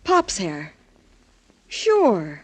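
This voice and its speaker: An actress playing a blunt, sarcastic, impatient boxer's sweetheart with slangy speech, in 1940s radio drama style. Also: female voice, medium pitch, huge pitch swings, very slow, husky, heavily acted. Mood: accusing. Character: tough, sharp-tongued gal, a boxer's impatient sweetheart.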